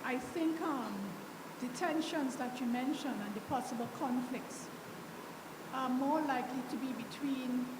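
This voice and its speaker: Caribbean woman speaking from the floor. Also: high-pitched. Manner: polite, measured, thoughtful. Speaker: audience member asking a question. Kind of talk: question from the floor. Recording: echoey hall with audible background noise.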